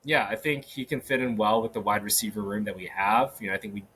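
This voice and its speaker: A man hosting a solo sports podcast, talking casually with many fillers and restarts, his voice a little hoarse. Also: on the low side, fast, sharp tone, a little hiss or hum. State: slightly hoarse. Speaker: podcast host. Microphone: podcast microphone.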